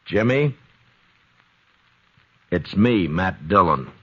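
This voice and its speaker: An actor playing a compassionate, plain-spoken frontier marshal with halting, folksy speech in a 1950s western radio drama. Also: male voice, sits low, animated, sharp tone, husky, exaggerated voice. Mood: grave, steady. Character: steady, compassionate frontier marshal of the Old West. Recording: dull, noisy old radio recording.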